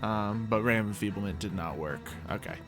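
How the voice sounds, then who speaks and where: A man's voice: on the low side, brisk, music in the background. A man casually narrating a tabletop game as game master, in an informal, conversational way.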